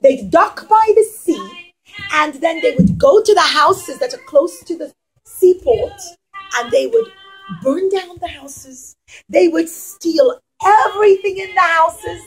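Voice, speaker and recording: A woman storyteller teaching young children, speaking dramatically with emphatic pauses and questions to her listeners. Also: very high-pitched, expressive, rough recording.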